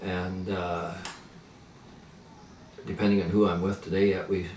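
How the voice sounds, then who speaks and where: An older man who grew up speaking Pennsylvania Dutch, reminiscing slowly with many pauses and fillers. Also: sits low, little pitch movement, darkish tone. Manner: halting, many fillers and restarts. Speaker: interviewee. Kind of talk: reminiscing in conversation. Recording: echoey room, mic at a distance, faint noise.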